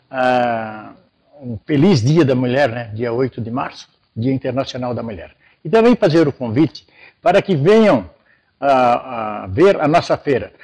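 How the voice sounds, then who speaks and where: An older man, giving an informal, somewhat halting invitation to camera. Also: mid-range voice, darkish tone. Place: large indoor hall.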